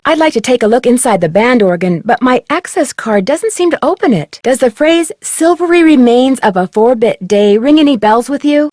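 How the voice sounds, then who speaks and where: A woman acts out a young female amateur sleuth for a detective video game. Her voice sits on the high side and sounds very dry, free of room sound. She is courteous and conversational, yet persistent and inquisitive. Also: darkish tone, no echo, natural voice. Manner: polite, inquisitive, clearly enunciated questioning. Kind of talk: video game dialogue, conversational question delivery.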